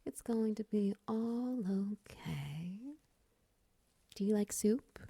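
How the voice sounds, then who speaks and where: Woman as a breathy, mellow, flirty spider woman. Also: medium pitch, expressive, no room sound, exaggerated voice. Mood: soothing, caring. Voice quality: breathy, husky, often near whisper. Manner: slow, coaxing, teasing endearments. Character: flirty, doting drider spider woman, seductive caretaker. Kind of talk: intimate ASMR-style romance audio roleplay. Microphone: close mic, dry.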